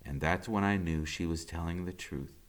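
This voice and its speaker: A man, a teacher, reading a novel aloud with expressive first-person storytelling. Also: deep voice, moderate pace.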